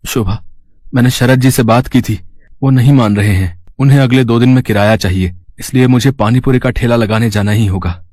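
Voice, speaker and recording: A man voicing a poor, kind-hearted street food vendor in a cartoon story, earnest and plaintive, with a husky voice. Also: medium pitch, a little fast, a little mellow, dry recording, lightly acted. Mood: worried, pleading. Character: poor, worried, kind-hearted male pani puri vendor.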